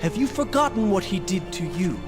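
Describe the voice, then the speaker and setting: An actor playing a proud, vengeance-driven young prince who speaks intensely and with command. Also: male voice, sits high, very animated, brisk, over loud music. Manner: intense, commanding, turns to strained shouting.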